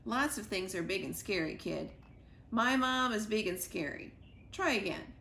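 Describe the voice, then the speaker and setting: A woman voicing a gruff, no-nonsense female chick detective, talking low and clipped. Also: animated, normal speed, sharp tone, reverberant, slight background noise. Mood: tough.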